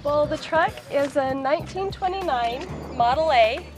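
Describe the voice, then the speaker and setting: A woman's voice: high voice, expressive, normal speed, music in the background. A woman chatting casually and in a friendly way as an exhibitor showing something off. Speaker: vehicle exhibitor. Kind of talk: casual on-location chat.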